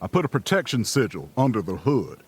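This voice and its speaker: An actor playing an easygoing, protective traveller in an audio drama, with folksy, reassuring phrasing. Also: male voice, mid-range voice, very animated, a little fast, slight background noise, lightly acted. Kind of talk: audio drama acting, naturalistic.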